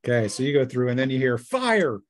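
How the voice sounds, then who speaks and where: A man's voice: medium pitch, sing-song, a little fast. A man narrating a tabletop role-playing game as game master in a casual, conversational way.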